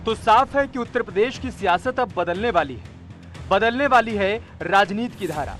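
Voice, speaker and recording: A man's voice: high-pitched, animated, moderate pace, a bit bright, soft background music. A man reading a scripted news report voice-over in a dramatic, rhetorical way.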